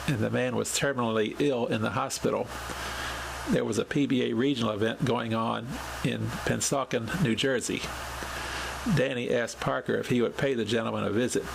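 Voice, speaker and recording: Male podcast host, heavily creaky voice, measured scripted storytelling, audible noise behind. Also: mid-range voice. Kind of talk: scripted narration, reading a written account.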